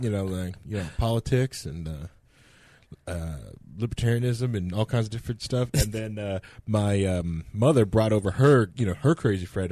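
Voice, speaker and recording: A man's voice: lowish voice, dark tone. A man co-hosting a casual podcast, easygoing and joking as he chats. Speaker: podcast co-host.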